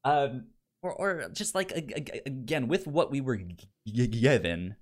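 A man's voice: mid-range voice, expressive, a little slow. A man co-hosting a podcast in a casual review discussion, stammering and often repeating syllables and words. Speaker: podcast co-host.

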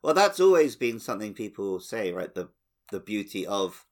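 A man's voice: lowish voice, sing-song, moderate pace. A man hosting a podcast in casual, conversational talk. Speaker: podcast host. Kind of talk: casual chat.

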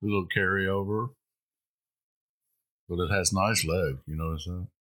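A man's voice: low voice, steady tone, unhurried, a bit bright. A man chatting casually, a father pouring drinks, with short matter-of-fact replies. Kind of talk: casual chat.

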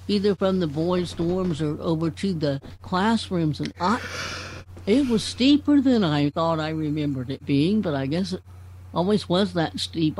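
A woman's voice: on the low side, mellow, slight background noise, low-quality recording. An older woman in casual group conversation, rambling a little as she searches for words. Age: 60s or older. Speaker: club member.

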